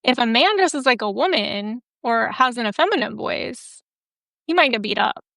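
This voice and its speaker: A woman's voice: fairly high pitch, animated, moderate pace, gravelly, pushed and bright, no room sound. A woman hosting a casual interview, talking candidly with lots of "like" fillers. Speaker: host.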